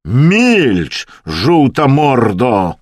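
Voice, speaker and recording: A man voicing a hysterical, drunken and mocking Russian hunter in an audiobook, with theatrical wailing and drawn-out exclamations. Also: on the low side, lively intonation, no echo, clean studio sound, exaggerated voice. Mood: furious.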